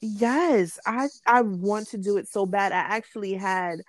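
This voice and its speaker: A woman's voice: mid-range voice, unhurried, dry recording. A woman, co-hosting a casual chat, frank and pressing with her questions. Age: late 20s. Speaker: podcast co-host.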